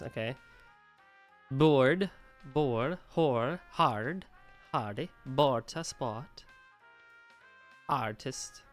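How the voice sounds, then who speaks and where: An American man with a bright, forward voice chats and reads aloud during a live stream, rambling and laughing easily. Music plays faintly behind him. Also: mid-range voice. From United States. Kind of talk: casual live-stream reaction.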